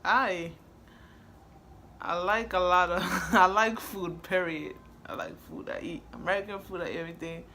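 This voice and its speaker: A laid-back woman talks easily about home, rambling and chuckling between answers. Her voice is bright and forward. A faint hiss sits under the recording. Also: on the low side, normal speed. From Ghana. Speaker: vlogger answering questions. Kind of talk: casual chat.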